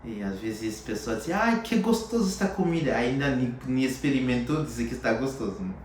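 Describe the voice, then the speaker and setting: A man's voice: mid-range voice, animated, a little fast, slight background noise. A man with a Haitian accent, explaining things reflectively in a documentary interview. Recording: very echoey room.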